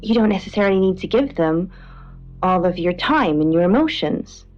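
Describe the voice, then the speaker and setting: A woman's voice: fairly low pitch, animated, moderate pace, a little mellow, dull audio, close to an everyday voice. Woman playing Munro, earnest radio dream interpreter. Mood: earnest, calm. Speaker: radio co-host. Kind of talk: late-night radio show talk. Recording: band-limited radio sound over music.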